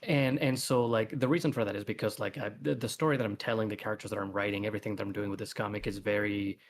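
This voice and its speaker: A man's voice: fairly low pitch, steady tone, quick pace, slight background noise. A man talking casually as a guest webcomic author on a livestream, thinking aloud as he goes.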